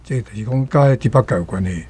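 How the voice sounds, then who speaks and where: A man with a Taiwanese accent and a husky voice, giving casual explanations as a guest commentator. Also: on the low side, fast, dark tone.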